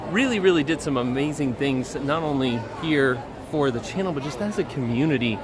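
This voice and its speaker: A man with a slightly hoarse voice chats casually to viewers and friends as he hosts a live stream. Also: medium pitch, expressive, brisk, crowd noise. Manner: chatty, addresses viewers and friends. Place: outdoors at a busy theme park.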